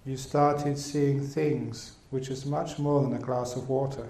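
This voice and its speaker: A man giving a Buddhist teaching as a monk, speaking in short, unhurried phrases with pauses between them. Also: medium pitch, little pitch movement, dark tone. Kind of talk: dharma talk with an interpreter. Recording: roomy echo.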